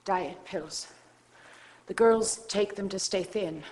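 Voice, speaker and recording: A woman's voice: medium pitch, takes it slowly, darkish tone, natural voice. A woman playing an anxious, defensive boarding school headmistress in a video game. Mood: worried. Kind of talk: FMV game acting.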